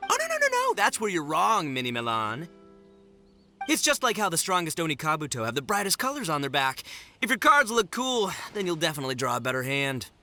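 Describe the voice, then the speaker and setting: A brash, bombastic young gang boss from a video game. His delivery is extremely animated and forceful, boastful and goofy, with faint music underneath. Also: on the high side, brisk, heavily acted. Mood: cocky.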